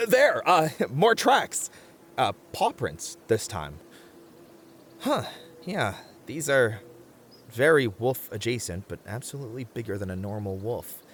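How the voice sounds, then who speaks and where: A man's voice: medium pitch, fairly bright tone, slight background noise. A man playing a muscular, sensible but easily flustered bunny-man camper in a comedic audio roleplay, hesitant and wry as he reasons aloud. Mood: exasperated, flustered.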